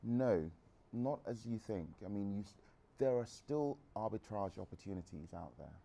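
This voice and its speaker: A man's voice: on the low side, lively intonation, moderate pace, vocal fry, mellow. A man in his thirties from England, a guest entrepreneur answering audience questions in a frank, rambling way with restarts. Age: 30s.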